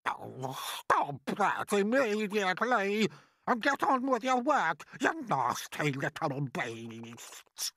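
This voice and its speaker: A storyteller voicing a vain, pompous, bossy aunt who declaims grandly in drawn-out words. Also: mid-range voice, very animated, a bit bright, no room sound, studio quality, voice pushed up, heavily acted.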